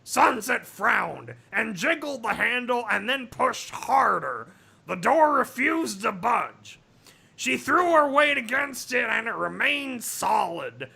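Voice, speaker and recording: A man putting on a raspy, strained, grating comic narrator voice to read a story in a mock-dramatic way. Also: very high voice, big pitch swings, takes it slowly, pushed and bright, exaggerated voice. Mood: strained, exaggerated. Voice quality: raspy, gravelly. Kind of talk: mock-dramatic reading of fan fiction.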